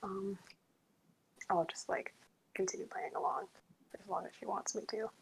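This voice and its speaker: A woman playing a tabletop game, speaking softly and unsure, half whispered from off the mic. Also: medium pitch, fairly even intonation, moderate pace, creaky, low-quality recording. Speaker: player.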